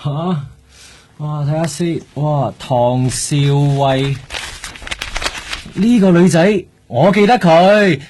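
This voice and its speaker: Eager high-pitched young Hong Kong detective. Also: male voice, takes it slowly, pauses often, darkish tone, husky. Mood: amazed, chatty. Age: young adult. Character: eager, naive, chatty young male police detective. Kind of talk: conversational radio drama acting.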